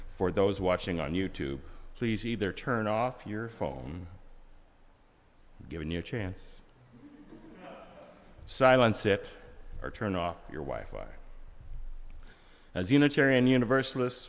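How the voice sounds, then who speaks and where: A man serving as worship associate, leading a church service with a measured, solemn reading. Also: on the low side. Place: large church sanctuary. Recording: reverberant hall.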